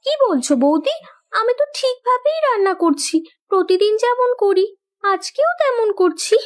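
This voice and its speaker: A woman playing an earnest young landlord's daughter in a Bengali cartoon, gently protesting and caring. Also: very high-pitched, lively intonation, normal speed. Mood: meek, protesting.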